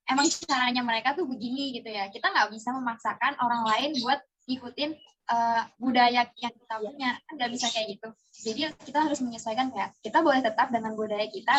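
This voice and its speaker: A woman's voice: on the high side, fairly bright tone, slight background noise, poor audio. A young woman from East Java answering interview questions in a chatty way, with many hesitations and fillers. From East Java, Indonesia.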